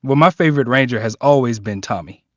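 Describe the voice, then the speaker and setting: A man's voice: medium pitch, fast talker, dry recording, lo-fi sound. A man in a cast call telling an anecdote in an informal, self-deprecating way. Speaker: voice actor, cast member. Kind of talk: casual anecdote in group chat. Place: online voice call.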